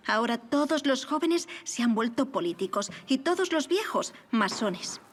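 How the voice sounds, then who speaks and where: A young lady of high society in a period audio drama. Her voice sits a little high. She is gracious and affectionate, with formal, witty, self-assured speech. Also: very animated, normal speed. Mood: wry.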